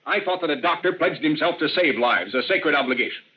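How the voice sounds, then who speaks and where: An actor playing an earnest, worried captive in an old-time radio drama, pleading in an articulate, moralizing way. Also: male voice, on the high side, expressive, fairly quick, close to an everyday voice. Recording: muffled, hissy vintage radio recording.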